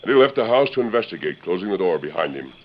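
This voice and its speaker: Commanding low-voiced western hero. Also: male voice, big pitch swings, fast. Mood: explaining, assured. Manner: declamatory, authoritative explaining. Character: commanding masked western lawman hero, steady and sure. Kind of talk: 1930s-40s western radio drama acting. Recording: dull vintage radio recording.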